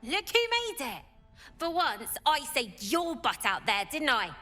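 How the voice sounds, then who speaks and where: A cheeky, competitive game character, with a high, bright voice. She talks in a cocky, informal way, stretching words slowly over faint music. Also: sing-song, full character voice. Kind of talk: video game acting.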